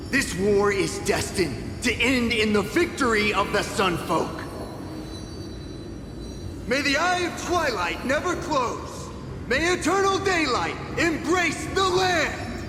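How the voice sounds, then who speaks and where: Arrogant priest zealot, high slow voice, theatrical game acting, super echoey. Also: male voice, big pitch swings, exaggerated voice. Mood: contemptuous, zealous. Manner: haughty, declamatory. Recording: cavernous echo, music behind.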